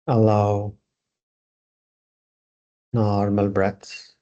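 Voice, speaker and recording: Slow, deep-voiced man guiding meditation. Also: monotone, dark tone, lo-fi sound. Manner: unhurried, with long pauses between short phrases. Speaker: yoga nidra meditation teacher. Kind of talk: guided meditation instruction.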